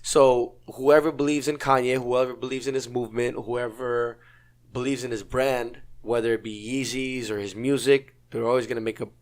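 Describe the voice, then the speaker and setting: A man's voice: medium pitch, level tone, moderate pace. A man co-hosting a podcast, arguing his points in a casual debate, getting worked up and saying he is losing his voice. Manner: argumentative, builds to hyped outbursts. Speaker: podcast co-host.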